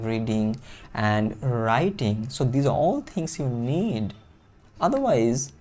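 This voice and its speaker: A Bengali-accented man gives a motivational English lesson with an earnest, insistent delivery. He hammers points home by repeating phrases. His voice sits at a middle pitch with a mellow, dark tone. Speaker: English speaking coach. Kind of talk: motivational teaching monologue.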